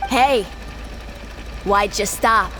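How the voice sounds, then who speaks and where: A woman's voice: medium pitch, drawn-out words, fairly bright tone, a little hiss or hum. A woman voicing a stern female overseer who scolds sharply, in a video game cutscene. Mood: scolding.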